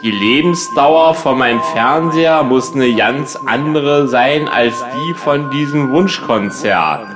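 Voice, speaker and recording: A man's voice: medium pitch, expressive, takes it slowly, hardly any pauses, music in the background. A man playing a slangy, earnest, absurdly logical slacker band member in a radio comedy, talking in a colloquial, matter-of-fact way. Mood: earnest, deadpan.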